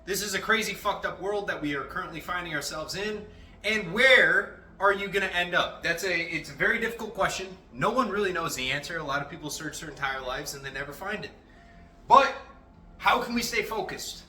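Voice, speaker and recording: A young man giving a casual, rambling motivational talk to his viewers, cursing freely and animated. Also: sits high, a little fast. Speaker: motivational vlogger. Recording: echoey room, faint music.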